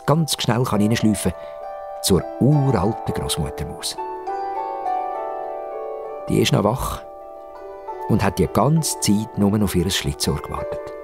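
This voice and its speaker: A man with a Swiss German accent narrating a children's story dramatically, with suspenseful pauses and repetitions. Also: fairly low pitch, music in the background. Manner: expressive, suspenseful, with repetitions for effect.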